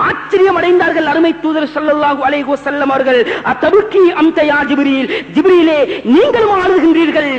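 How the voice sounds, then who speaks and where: A male preacher delivers an Islamic sermon in an extremely high-pitched voice. His delivery is dramatic and urgent, built on repetition, rhetorical questions and recited Arabic verses. The recording is dull and muffled, with long hall reverberation around every phrase. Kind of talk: impassioned Islamic sermon with Arabic scripture quotations.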